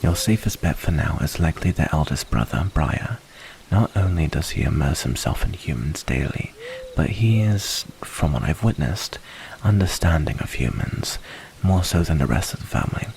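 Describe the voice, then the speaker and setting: A man playing a wary, earnest and protective werewolf in an ASMR roleplay, giving hushed warnings with breathy pauses. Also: a bass voice, expressive, a little hiss or hum, heavily acted, low-quality recording. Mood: pleading concern.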